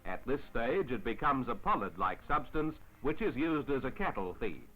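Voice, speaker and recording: A man narrating a 1950s newsreel in a formal, measured announcer style on an old film soundtrack. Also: mid-range voice, sing-song, background noise. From Australia. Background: music.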